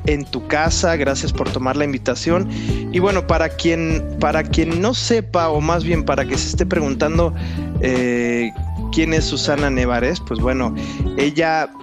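Male podcast host, Mexican accent, slightly high pitch, loose rambling chat over audible music. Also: measured pace. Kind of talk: casual podcast hosting. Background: background music.

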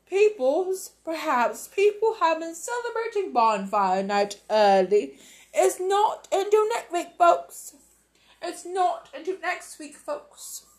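A woman's voice: very high-pitched, pitched above the performer's own voice, full character voice. A woman doing a jokey TV weatherman. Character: cheery male TV weatherman.

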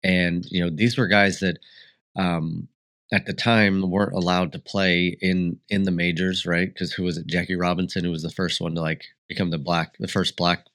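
A man's voice: sits low, little pitch movement, moderate pace, a bit bright. A man hosting a solo podcast, congested and sniffly, talking in a rambling, reflective way with frequent restarts. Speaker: podcast host.

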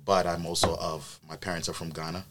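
New york guy with a really low voice, laid back, lots of um and like, just chatting on a podcast. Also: fairly quick, a little hiss or hum. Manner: relaxed storytelling with many fillers. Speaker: event planner guest. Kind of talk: casual podcast chat.

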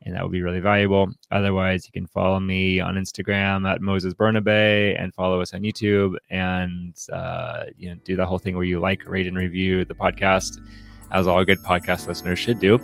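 A man with a fairly low voice hosts a podcast in a casual, conversational style. He is playful and jokey as he talks. The sound is dry and close, with little room around it. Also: completely flat delivery, normal speed, gravelly, hardly any pauses, no echo, faint music behind. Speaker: podcast host.

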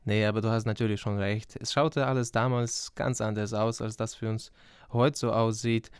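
A man's voice: fairly low pitch, level tone, normal speed, clean tone, no echo. Teasing young man. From Ukraine. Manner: teasing, dry humour. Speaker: podcast co-host, journalism student. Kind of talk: scripted intro and casual podcast chat.

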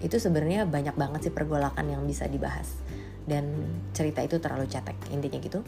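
Low-voiced, candid young woman over music. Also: fast, creaky. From Indonesia. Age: late 20s. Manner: candid, blunt, slangy, mixing in English phrases. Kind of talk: casual monologue.

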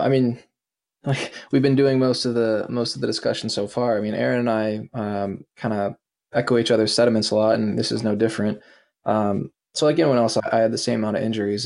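A man's voice: lowish voice, creaky, darkish tone. A man in his late twenties, a former athlete, answering casually with lots of hesitant fillers. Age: 20s. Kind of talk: interview answer.

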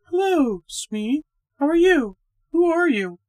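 A woman's voice: high voice, big pitch swings, slow, darkish tone, no room sound, clean studio sound, pitched above the performer's own voice, full character voice. A woman voicing a friendly, chatty young woman who is uneasy but bold, in a ghost story. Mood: bright, annoyed. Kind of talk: ghost story character acting.

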